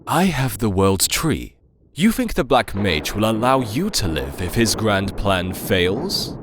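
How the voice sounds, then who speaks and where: An insolent young antihero acted by a man for a fantasy game dub, fairly low in pitch with animated swings. He sounds cocky, taunting and defiant. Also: slow pace, background noise. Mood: threatening, defiant.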